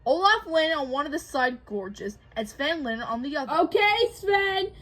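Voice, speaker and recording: A woman reading a children's storybook aloud in an expressive narrating style. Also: high-pitched, normal speed. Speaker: co-host reading a picture book aloud.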